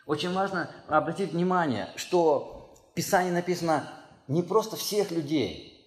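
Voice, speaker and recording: Earnest male pastor in a reverberant hall. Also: moderate pace. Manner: earnest, emphatic, rises in pitch and force when stressing a point. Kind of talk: sermon.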